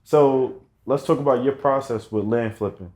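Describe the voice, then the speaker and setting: A man's voice: mid-range voice, moderate pace, over a noisy crowd. A man hosting a podcast interview, casual and encouraging, with many short affirmations. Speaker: podcast host.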